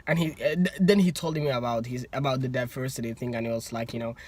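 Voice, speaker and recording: A man's voice: mid-range voice, fast. A young man from Somalia with a Somali accent, casually telling stories as a student and acting out the conversations. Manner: animated, acts out both sides of conversations, laughs often. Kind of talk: casual storytelling.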